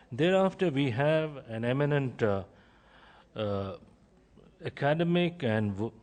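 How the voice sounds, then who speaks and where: This is a man who chairs a formal conference panel. He speaks in a mid-range voice and sets out credentials in steady, measured phrases, pausing between each item. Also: medium pitch. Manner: measured, formal, pauses between list items. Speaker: session chair.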